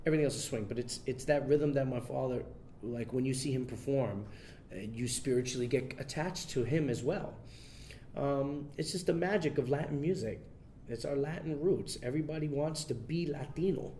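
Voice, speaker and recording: A man answers interview questions in a loose, relaxed way, stopping and restarting his sentences and leaning on fillers. His voice sits at an ordinary pitch. A faint low rumble runs under the recording. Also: moderate pace. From United States. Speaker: interview guest, son of a famous bandleader. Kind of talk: casual interview.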